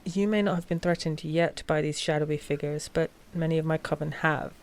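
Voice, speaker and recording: A woman playing a polite, frazzled vampire coven leader in an audio roleplay, speaking formally with halting hesitations. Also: sits low, fairly even intonation. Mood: grave, uneasy. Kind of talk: scripted audio roleplay drama.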